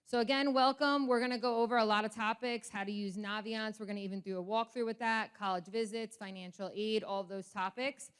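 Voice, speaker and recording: A woman with a smooth, clear voice at a middling pitch presents to parents and students as assistant principal. She speaks informally into a microphone, walking through practical information. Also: fairly even intonation, brisk, hardly any pauses, fairly bright tone. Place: school meeting with an audience.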